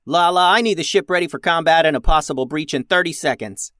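A man voicing a bossy, impatient ten-year-old girl starship captain who shouts commands in a children's story. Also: fairly high pitch, quick pace, no room sound, clean studio sound, pitched above the performer's own voice, lightly acted. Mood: brisk, urgent.

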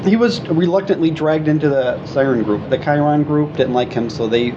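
A man's voice: fairly high pitch, normal speed. Man on dull, noisy room audio. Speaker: tabletop roleplaying player. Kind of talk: informal character introduction.

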